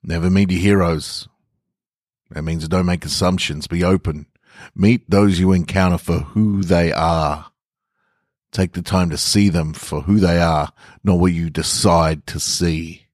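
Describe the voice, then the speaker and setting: Man voicing a deep-voiced blunt barroom philosopher. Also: fairly even intonation, a little slow, darkish tone, no echo, studio quality. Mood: philosophical. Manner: folksy, emphatic, swearing barfly monologue. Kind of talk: first-person short story reading.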